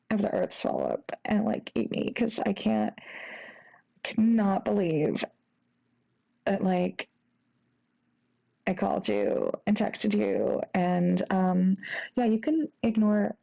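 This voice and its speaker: A sheepish young woman , played by an actress, rambles apologetically to an old flame in an intimate audio roleplay. Her voice is soft, dark and relaxed, with very heavy creak, and the recording is slightly dull. She is halting and flustered, full of embarrassment. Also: medium pitch, full character voice. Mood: embarrassed, rambling. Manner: halting, rambling, embarrassed, breaking into nervous giggles. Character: sheepish, flustered, apologetic young ex-girlfriend after a drunken night. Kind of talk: intimate acted phone-call roleplay.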